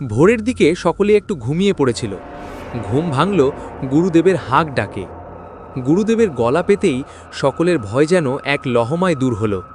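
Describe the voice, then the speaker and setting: A man's voice: on the high side, sing-song, normal speed, a little mellow, slight background noise. A man narrating a scripted horror story in a dramatic storytelling style.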